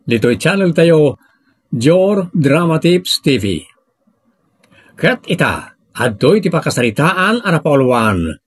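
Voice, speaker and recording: High-pitched, animated Filipino male announcer. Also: slow pace, slightly rough. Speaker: announcer of an audio drama show. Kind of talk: scripted drama introduction. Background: music.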